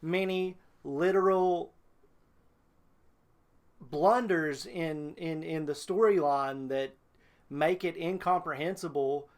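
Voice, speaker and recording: A man's voice: a little high, fairly even intonation, slow, pauses often. A man hosting a reaction video, talking casually with profanity and exasperated hyperbole.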